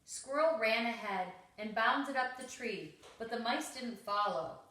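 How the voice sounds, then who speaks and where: A woman's voice: a little high, measured pace, bright, forceful tone. A woman reading a children's story aloud, expressively and with dramatic emphasis. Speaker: storybook reader. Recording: roomy, echoing home recording.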